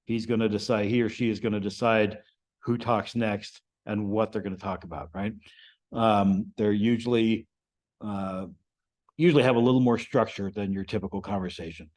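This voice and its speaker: A man giving an informal, explanatory lesson to a group as the instructor, often checking in with a quick "right?". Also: fairly low pitch, steady tone, darkish tone. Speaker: amateur radio class instructor.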